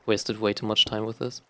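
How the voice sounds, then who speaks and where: A man's voice: lowish voice, flat delivery, measured pace, fairly bright tone. A young man from Germany with a German accent, talking unscripted and rambling while he plays a game, with many fillers and restarts. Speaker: gaming vlogger. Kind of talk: casual unscripted talk while playing a game.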